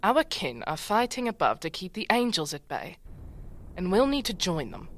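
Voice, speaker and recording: A woman playing a fierce, commanding demon chieftain in a fantasy audio drama, speaking with imperious, deliberate menace and a wry edge. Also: lowish voice, very animated, fairly bright tone, slight background noise. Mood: intrigued, stern. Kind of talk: fantasy audio roleplay acting.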